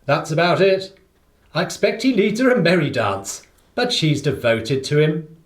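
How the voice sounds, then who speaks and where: A man acts out an affable British gentleman for a radio play, recorded in an echoing room. The voice is fairly high and lilts widely. He talks in a chatty, emphatic way, full of short phrases. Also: big pitch swings, a little slow. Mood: emphatic, informative.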